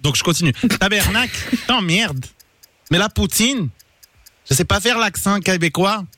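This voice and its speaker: Male co-host, French accent, high bright voice, dry close mic, prankster. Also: expressive, measured pace, no room sound. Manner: playful, fake-polite. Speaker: radio co-host. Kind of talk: prank call with a mock Canadian accent.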